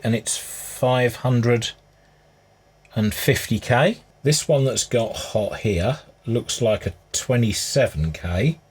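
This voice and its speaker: A man with a husky voice giving casual, unscripted hands-on repair commentary, musing aloud as he works. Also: medium pitch, a little mellow. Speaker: hobbyist restorer presenting his repair.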